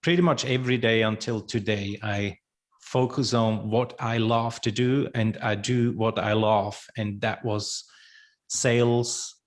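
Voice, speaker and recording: A middle-aged man from Switzerland, a podcast guest, speaking slowly and haltingly with many restarts; his is not English. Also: fairly low pitch, fairly even intonation. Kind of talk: podcast interview.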